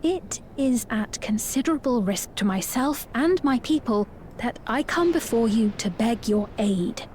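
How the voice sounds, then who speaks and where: An actress playing a gentle, regal young queen in a video game, speaking formally and softly in measured phrases. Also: on the high side, expressive, a little hiss or hum. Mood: earnest. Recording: music behind the voice.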